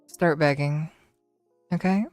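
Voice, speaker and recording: A woman streamer with a slightly hoarse voice, talking slowly and teasingly in a relaxed livestream chat. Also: deep voice, no room sound, faint music behind. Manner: slow, teasing, drawn-out praise.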